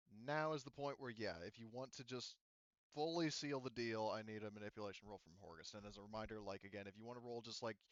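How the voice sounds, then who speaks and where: A man's voice: on the low side, a bit bright, smooth, dry recording, lo-fi sound. A man chatting casually as game master of an online tabletop game, rambling and often backtracking to rephrase himself.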